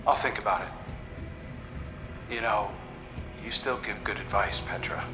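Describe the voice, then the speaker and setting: A man playing a self-doubting, haunted character in a video game, speaking in a halting, subdued way. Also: on the low side. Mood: hesitant, reflective. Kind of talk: dramatic game dialogue. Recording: roomy echo, music behind.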